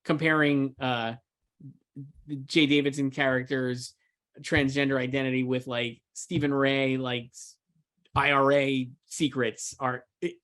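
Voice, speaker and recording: A man's voice: mid-range voice, level tone, measured pace, fairly bright tone. A man co-hosting a casual film discussion, talking emphatically and loosely with lots of repeated filler words. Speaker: co-host, film awards editor. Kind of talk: casual chat, film commentary.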